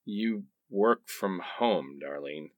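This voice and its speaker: A man's voice: deep voice, lively intonation, slow pace, dry recording, clean studio sound. An actor playing a defensive, exasperated husband with a whiny manner, bickering with his wife in a radio play. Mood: weary, sarcastic.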